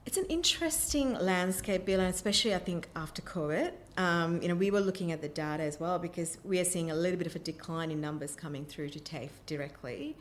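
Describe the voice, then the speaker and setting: A woman's voice: lowish voice, brisk, few pauses. A woman answering interview questions about her work in an enthusiastic, emphatic way. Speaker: interview guest, vocational college representative. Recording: clear background noise, room ambience.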